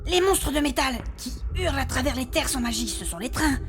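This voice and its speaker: A woman's voice: sits high, expressive, fairly quick, faint music behind. A woman playing an earnest, peacemaking female adventurer in a comic fantasy audio drama, insistent and conciliatory. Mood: thoughtful. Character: earnest, peacemaking female adventurer in armour, thoughtful puzzle-solver.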